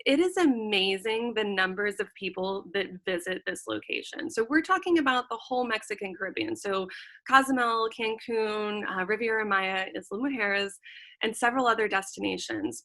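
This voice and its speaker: A woman's voice: mid-range voice, bright, forceful tone. A woman hosting a travel show interview over a video call, upbeat and eager.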